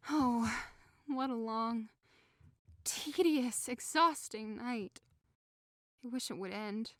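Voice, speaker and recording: A woman's voice: on the high side, takes it slowly, dry recording, clean studio sound. A woman playing a forlorn, rejected young lover in an audio drama, plaintive and theatrical. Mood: weary, miserable. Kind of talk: audio drama acting of modernized Shakespeare.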